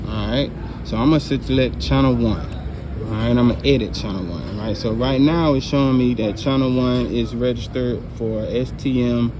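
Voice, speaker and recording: A man's voice: medium pitch, very noisy. A man presenting a hands-on equipment tutorial as a sound engineer, explaining informally step by step and often checking that the listener follows.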